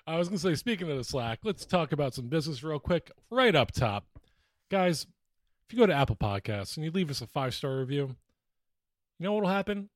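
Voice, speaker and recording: A man's voice: fairly high pitch, sing-song, fairly quick, no room sound, studio quality. A man co-hosting a podcast in a casual, chatty style. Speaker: podcast co-host. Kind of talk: casual chat.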